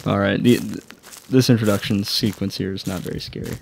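A man's voice: lowish voice, steady tone, fairly quick, creaky, slight background noise. A man co-hosting a game playthrough, trading dry, teasing wisecracks and laughing a lot, recorded on a webcam mic in a small room. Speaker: let's play co-host. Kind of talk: casual gameplay commentary.

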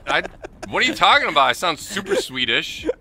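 A man's voice: high voice, wildly swooping pitch, brisk, pushed and bright, slight background noise. A man streaming a game, chatting casually with his friends and his viewers. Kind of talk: casual gaming banter with friends and stream chat.

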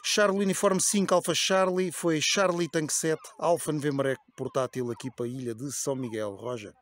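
A man's voice: a little high, fairly even intonation, brisk. A man in his forties speaking courteously as an amateur radio operator, recorded outdoors on a phone at arm's length. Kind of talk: casual radio contact chat. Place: outdoors on a hillside above a lake.

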